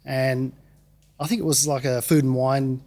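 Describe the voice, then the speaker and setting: An Australian man being interviewed as a musician, easygoing and relaxed, laughing easily and often saying yeah. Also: medium pitch, a little slow. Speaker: guest musician. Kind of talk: casual interview.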